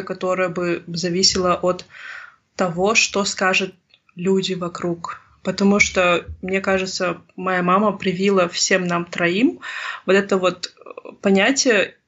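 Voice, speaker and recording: A woman from Kazakhstan in her thirties talks through her thoughts in an interview, earnest and unhurried in her search for the right words. Her voice has a breathy, husky edge. The recording sounds a little muffled and lo-fi. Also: mid-range voice, a bit bright. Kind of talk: reflective personal interview. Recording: slightly dull lo-fi recording.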